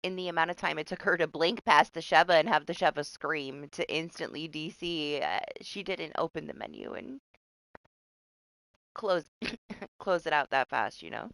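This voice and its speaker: A woman's voice: sits low, lively intonation, moderate pace, a bit bright, no echo, poor audio. A woman streamer chatting casually through her gameplay, sniffly and congested and now and then swearing. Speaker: game streamer. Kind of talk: casual live-stream chat.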